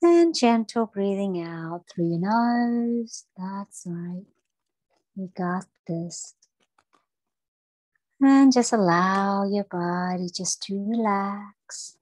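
A woman leading a guided breathing meditation for children, speaking in a gentle, soothing and encouraging way. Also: mid-range voice, very slow, no room sound, low-quality recording.

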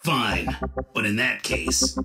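A man voicing a stern, coolly menacing cyborg fighting machine in a comic-book dub, formal and measured. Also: medium pitch, expressive, loud music behind the voice. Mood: cool menace.

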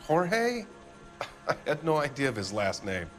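An American actor playing a defensive, evasive middle-aged factory owner in a video game, with an indignant, blustering manner. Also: male voice, on the high side, wildly swooping pitch, a little slow, a bit bright, faint music behind, heavily acted.

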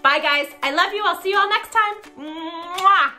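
A woman in her thirties chatting to young children as a story time host, in an expressive, exaggerated, affectionate manner. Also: very high-pitched, normal speed, faint music behind. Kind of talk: animated chat to young children. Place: living room sofa, indoors.

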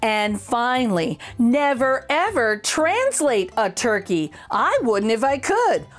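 Bright, high-voiced woman, middle-aged, animated picture-book reader, soft music behind. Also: takes it slowly. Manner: sing-song, exaggerated storytelling voice. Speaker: children's book reader, channel host. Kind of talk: expressive picture-book read-aloud.

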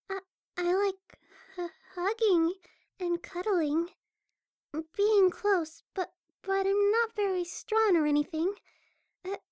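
A woman voicing a shy, gentle young cartoon pegasus pony with a hesitant, stammering delivery. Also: very high voice, dry recording, studio quality. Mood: bashful. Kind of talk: amateur cartoon fan audio drama.

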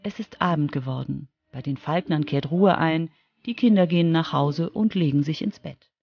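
A woman's voice: sits low, moderate pace, gravelly, breathy edge, highs cut off. A woman narrating a scripted children's radio feature as its reporter. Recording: music under the voice.

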